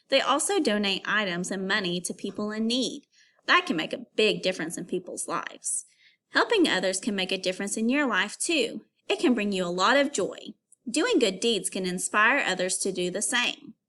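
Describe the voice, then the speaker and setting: A woman teacher reading aloud to young pupils and explaining as she goes, in an instructive, clearly phrased way. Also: mid-range voice, a bit bright. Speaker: elementary school teacher. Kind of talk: read-aloud reading lesson for children.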